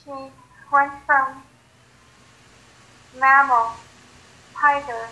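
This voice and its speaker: High, bright, very slow solemn woman. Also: steady tone, poor audio. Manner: deliberate, declarative, long pauses between phrases. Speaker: subject answering in a guided visualization. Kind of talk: channeled, solemn short answers.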